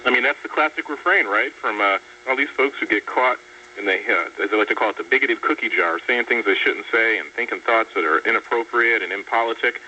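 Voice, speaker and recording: Fast, very sharp-voiced man giving sardonic commentary. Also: mid-range voice. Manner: articulate, incredulous, sardonic. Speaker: radio show guest commentator. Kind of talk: political commentary in a radio interview. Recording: dull, distant radio audio with faint hum.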